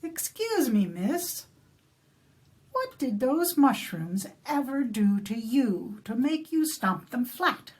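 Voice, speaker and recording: High, fretful leprechaun man in a storybook reading, put on by a woman; pleading and woeful. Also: sing-song, takes it slowly, darkish tone, exaggerated voice. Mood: polite. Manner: squeaky, pleading, high-pitched. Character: small fretful male leprechaun, polite and woeful.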